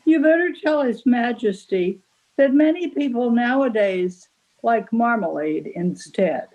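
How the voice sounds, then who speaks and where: A woman's voice: a little high, animated, a little slow, narrow, tinny audio. Woman as a drowsy, kindly storybook cow. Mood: sleepy, soothing. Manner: drowsy, soothing. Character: sleepy, kindly female Alderney cow.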